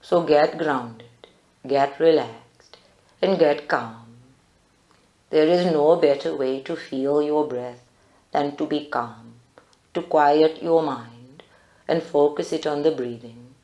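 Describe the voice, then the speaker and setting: A woman with a low-pitched voice leads a guided meditation. Her delivery is calm, deliberate and instructive. The live recording sounds very roomy. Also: muffled recording. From South Africa. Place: indoor group meditation room.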